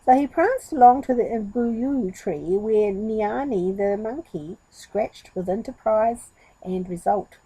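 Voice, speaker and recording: A woman storyteller giving an expressive narration of a story for children. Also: mid-range voice, slow pace, breathy edge, no room sound, low-quality recording.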